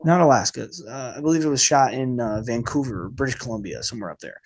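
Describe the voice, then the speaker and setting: A man's voice: medium pitch, expressive, fast. Casual male podcast host with a cold. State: has a cold, slightly off voice. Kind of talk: casual film review podcast chat.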